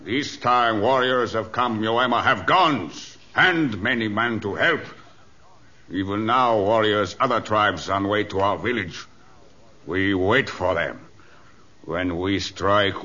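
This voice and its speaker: A proud, scheming chief in an old radio adventure. The voice is low, forceful and slow, declaiming in broken English through a dull, lo-fi recording. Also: male voice, exaggerated voice.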